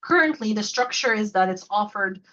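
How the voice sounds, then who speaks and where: Female presenter, mid pitch, chatty and informal, somewhat dull video call sound. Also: expressive, normal speed, bright, forceful tone. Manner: chatty, loose with many fillers and restarts. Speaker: faculty mentor and local coordinator. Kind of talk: presenting a virtual workshop.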